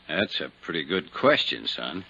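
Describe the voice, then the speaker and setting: Older, shrewd country lawman, blunt, gravelly low voice, dull vintage radio sound. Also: sing-song, a little slow, very noisy, full character voice. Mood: shrewd, musing. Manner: folksy, blunt. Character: folksy, shrewd older small-town sheriff. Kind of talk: 1950s radio drama acting. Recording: dull 1950s radio recording.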